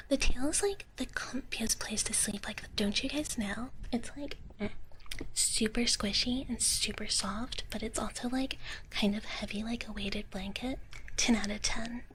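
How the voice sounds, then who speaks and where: A woman softly whispering close to the mic as a sweet, playful young dragon big sister in live ASMR roleplay, hesitant and bubbly. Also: on the high side, slight background noise. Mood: soothing, playful. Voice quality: soft, breathy whisper. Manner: sweet, hesitant, bubbly, speaks of herself in third person. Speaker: livestream host. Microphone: close ASMR microphone.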